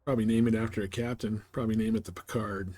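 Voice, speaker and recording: A man's voice: lowish voice, flat delivery, quick pace, warm tone, no room sound. A man streaming a game, chatting casually and thinking aloud in a conversational way. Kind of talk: casual chat while playing a space game.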